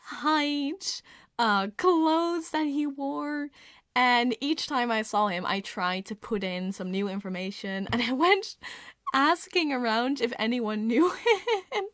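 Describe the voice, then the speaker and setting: Female ASMR storyteller, casual and confessional, unhurried delivery with a light giggly touch, very dry sound. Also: a little high, a bit bright, no echo.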